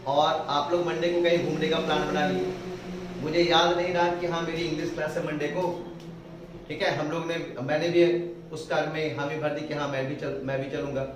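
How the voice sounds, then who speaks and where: Male teacher with a Hindi accent, slightly high voice, recorded from far off in an echoey room. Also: flat delivery, noisy background. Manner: explains slowly, repeats phrases word by word. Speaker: spoken English teacher. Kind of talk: classroom grammar lesson.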